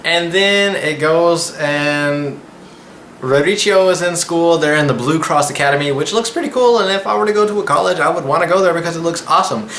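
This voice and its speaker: A man in his twenties gives a casual, slangy vlog recap. His voice sits a little high and is bright and forward. The room is echoey, and he rambles loosely through his review. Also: fairly quick. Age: 20s. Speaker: anime reviewer and channel host. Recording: echoey room with faint background noise.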